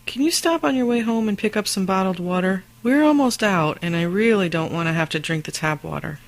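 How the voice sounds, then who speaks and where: A woman acting a warm, teasing, supportive wife in an amateur radio drama, chatty and affectionate. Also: medium pitch, lively intonation, a little fast, natural voice.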